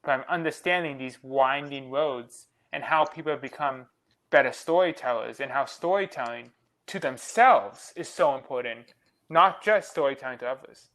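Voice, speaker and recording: A young man co-hosting a podcast, earnest and reflective, speaking in quick run-on sentences. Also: mid-range voice, a bit bright. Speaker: podcast co-host. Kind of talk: casual podcast conversation.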